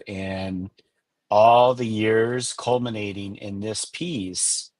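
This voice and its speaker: Low, earnest man, native Spanish speaker. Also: no room sound. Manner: reflective and earnest, with soft affirming murmurs. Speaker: author and guest. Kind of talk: webinar interview.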